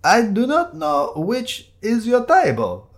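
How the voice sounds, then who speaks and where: A man voicing a flustered, indignant delivery man in a comic story, with stiff, halting, affronted speech. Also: extremely high pitch, huge pitch swings, voice pushed up, full character voice.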